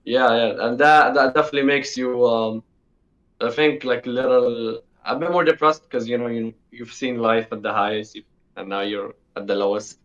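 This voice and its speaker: A man's voice: mid-range voice, fairly even intonation, moderate pace, a bit bright. Teenage male, from the UAE, casual interview guest, informal, emphatic repetition, muffled band-limited sound. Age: teens, sixteen. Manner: informal, repeats himself for emphasis.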